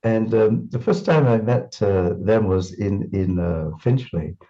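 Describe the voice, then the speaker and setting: A man's voice: lowish voice, measured pace, warm tone, lo-fi sound. A man from Singapore reminiscing in an interview, thoughtful and full of restarts and fillers. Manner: thoughtful, repeats words, many fillers.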